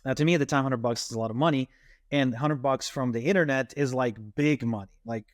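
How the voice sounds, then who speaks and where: A man's voice: mid-range voice, fast, no echo, clean studio sound. A man from Ukraine and Israel, a native Russian and Ukrainian speaker, telling his story conversationally as an interview guest. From Ukraine, and Israel from age twelve. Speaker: podcast guest, entrepreneur.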